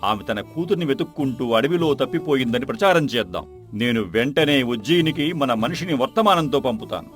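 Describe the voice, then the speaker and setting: A man acts a crafty henchman serving a folk-tale villain. He speaks at middle pitch in a servile, deferential way. Faint music plays underneath. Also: expressive, fairly quick, lightly acted. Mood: eager, conniving. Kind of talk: Telugu audio folk tale acting.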